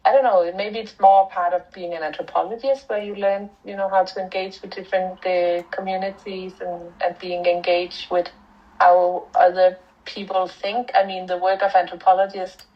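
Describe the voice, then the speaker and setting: A woman's voice: on the low side, little pitch movement, normal speed, muffled recording. A middle-aged Danish woman with a Danish accent, an author and anthropologist answering interview questions in a thoughtful way, with fillers and restarts. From Denmark. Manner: reflective, with frequent fillers and restarts.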